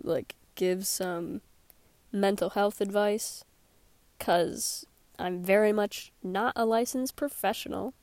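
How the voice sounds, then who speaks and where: A woman's voice: mid-range voice, a little mellow, dry recording. A teenage girl with a sore throat, chatting casually and sarcastically on her own podcast. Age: teens. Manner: sarcastic, rambling, laughs often. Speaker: podcast host. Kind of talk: casual solo podcast chat. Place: small closet.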